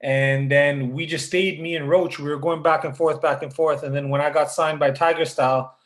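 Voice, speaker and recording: A man from Canada, a rapper guest telling his story in a relaxed way, with many filler words. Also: fairly high pitch, normal speed, reverberant. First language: Punjabi. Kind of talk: casual interview over a call.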